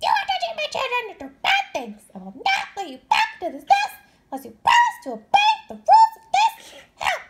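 A woman voicing a stern, fussy mother bird in a children's storybook, shrill and squawking as she scolds. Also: huge pitch swings, brisk, heavy background noise, full character voice. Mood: angry, scolding. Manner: bossy, exclaiming, scolding. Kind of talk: acted children's storybook read-aloud.

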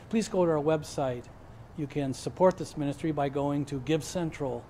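A parish priest, a man in his sixties, delivers a prepared message of thanks. His voice is mellow and a touch high, recorded outdoors with faint ambient noise behind it. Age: 60s. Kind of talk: scripted thank-you message to viewers. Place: garden, outdoors.

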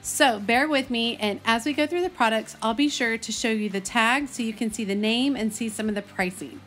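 Chatty Texan woman, higher-pitched voice. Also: moderate pace, fairly bright tone, soft background music. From Texas, United States. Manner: chatty, enthusiastic, folksy. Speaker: host of a gardening video channel. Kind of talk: casual vlog narration.

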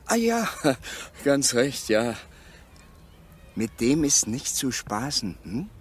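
Sly, wheedling village poacher. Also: male voice, medium pitch, very animated, slow, breathy edge, heavily acted. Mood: sly, insinuating. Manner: wheedling, evasive, hesitant. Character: sly, ingratiating male village poacher, evasive petty crook. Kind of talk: German radio crime drama acting.

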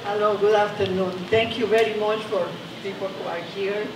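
An older woman with a Mexican Spanish accent speaks in simple, earnest English. Her voice sits at a middle pitch. She is heard from a distance in a gallery with echo and noise. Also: little pitch movement, moderate pace. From Chiapas, Mexico. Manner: simple, earnest phrasing in non-native English. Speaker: exhibiting artist. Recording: echoey gallery from a distance, noisy.

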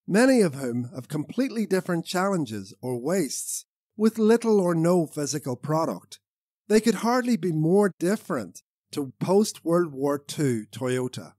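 Male author-narrator for nonfiction audiobook. Animated, swinging pitch, recorded close and dry. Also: no echo. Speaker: author reading own audiobook.

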